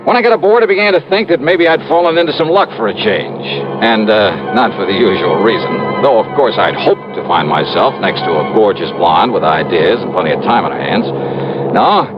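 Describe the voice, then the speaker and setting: A man's voice: lowish voice, big pitch swings, fairly quick, over loud music. A man playing a wry, confident, hard-boiled investigator in a 1950s radio detective drama, speaking casually. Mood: wry narration. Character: wry, confident male insurance investigator hero. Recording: noisy, muffled old radio recording.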